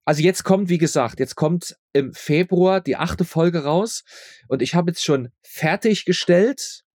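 A man's voice: on the high side, unhurried, a little mellow, dry recording, clean studio sound. A man speaking as a guest in a casual interview, rambling and often repeating his words as he explains his audio drama work.

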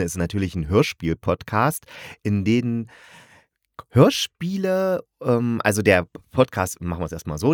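Man, lowish voice, dry close sound, casual podcast host, enthusiastic, rambling, chatty. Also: dry recording. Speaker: podcast host reviewing audio dramas.

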